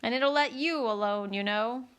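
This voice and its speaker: A woman voicing a very old, grumpy male frog with a hoarse voice and a slow, muttering drawl in a storybook reading. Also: fairly bright tone, lightly acted. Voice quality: deep hoarse whisper.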